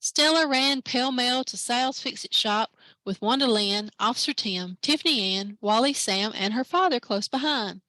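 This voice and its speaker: A woman librarian reading a children's picture book aloud at story time, lively and expressive. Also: fairly high pitch, normal speed, no echo, low-quality recording. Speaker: library branch manager, story time reader.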